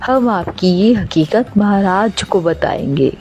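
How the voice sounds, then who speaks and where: A woman's voice: medium pitch, slow, a little mellow, muffled recording, a little hiss or hum, close to an everyday voice. A woman voicing an imperious, indignant queen who speaks formally and commandingly in a cartoon fairy tale. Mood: angry. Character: imperious, indignant queen, middle-aged mother.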